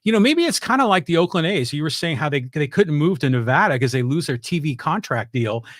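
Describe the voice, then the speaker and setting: A man's voice: a little high, expressive, fairly quick, dry recording, studio quality. An American man chatting casually about sports as a co-host, conversational and questioning, reading out listener questions.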